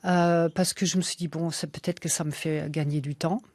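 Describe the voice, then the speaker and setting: Woman guest, non-native speaker, low voice, halting and thoughtful, dry studio sound. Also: steady tone, darkish tone, no echo. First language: not French. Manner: hesitant, many fillers and restarts. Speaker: author and illustrator guest. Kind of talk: radio interview, reflective.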